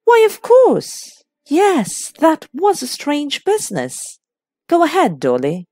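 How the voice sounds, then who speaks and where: A woman's voice: sits high, wildly swooping pitch, slow, warm tone, no echo, voice pushed up, full character voice. A woman voicing a bluff, hearty, matter-of-fact colonel in a mystery audiobook. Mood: bluff, encouraging. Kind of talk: audiobook character voice in a classic mystery.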